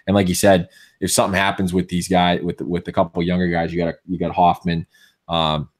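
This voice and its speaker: A man with a Boston accent co-hosting a casual sports podcast, opinionated and full of restarts, with a voice going hoarse. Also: sits low, level tone, brisk. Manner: opinionated, many restarts and fillers. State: losing his voice. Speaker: podcast co-host.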